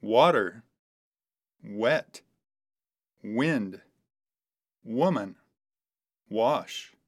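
A woman with an unusually deep voice reads short dialogues and vocabulary for learners. She speaks slowly, shaping each word carefully so it can be repeated. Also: animated. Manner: slow, carefully articulated model speech. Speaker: language course speaker. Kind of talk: scripted model dialogues and drills.

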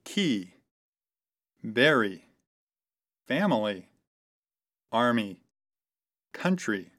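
A woman reading model dialogues and word drills for a language course, with careful, clear articulation. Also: very deep voice, big pitch swings, drawn-out words, a bit bright.

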